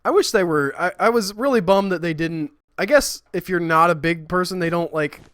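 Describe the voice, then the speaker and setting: Rambling man with a squeaky, bright voice. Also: moderate pace. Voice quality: high-pitched, squeaky voice. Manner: rambling, self-deprecating, reading out chat. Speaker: streamer building in a game. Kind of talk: casual livestream chat.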